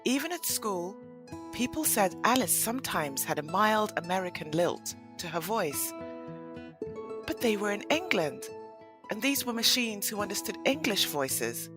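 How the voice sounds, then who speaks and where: Female narrator for audio drama: storybook delivery, animated pitch, light music bed. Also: unhurried.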